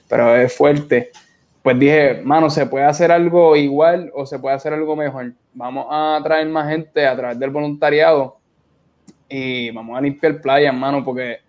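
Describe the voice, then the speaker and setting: A man's voice: medium pitch, a little mellow. A young man with a Puerto Rican accent chatting informally as an interview guest over a video call. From Puerto Rico. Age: young adult. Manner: informal, colloquial. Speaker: guest, beach cleanup organizer. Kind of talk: casual interview conversation. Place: indoor room on a video call. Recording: dull, lo-fi video call audio.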